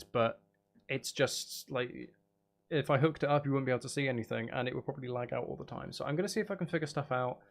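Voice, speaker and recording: Gravelly man chatting casually on stream. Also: mid-range voice. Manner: rambling, thinking aloud. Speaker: live streamer. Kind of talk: casual livestream chat while making music.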